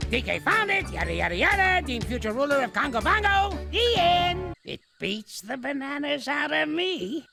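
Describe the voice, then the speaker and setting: A grouchy old ape from a cartoon, barking sarcastic insults at everyone around him. His voice is gravelly and full of creak, and it leaps all over the place in pitch. Music plays loudly behind him. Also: heavily acted. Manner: scolding, sarcastic, barks insults. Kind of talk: cartoon voice acting.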